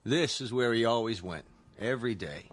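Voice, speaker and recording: An actor playing a plain-spoken museum guard in a radio drama. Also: male voice, mid-range voice, expressive, normal speed, natural voice. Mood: matter-of-fact.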